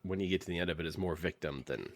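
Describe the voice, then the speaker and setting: Low-voiced man thinking aloud. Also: rapid-fire, no echo, clean studio sound. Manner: rambling, thinks aloud, restarts sentences. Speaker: podcast host. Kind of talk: casual book club podcast discussion.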